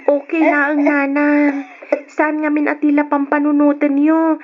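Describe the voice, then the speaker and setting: A woman's voice: sits high, completely flat delivery, measured pace. A woman playing a young, hardworking, tender and anxious daughter in an old radio drama, with an Ilocano accent. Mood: gentle concern. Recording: dull noisy old radio recording.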